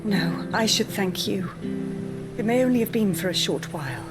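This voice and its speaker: A woman's voice: mid-range voice, lively intonation, normal speed, loud music behind the voice, lightly acted. An actress playing a kindly, wistful older noblewoman in a fantasy game, with a gracious, formal manner. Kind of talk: gentle dramatic game acting.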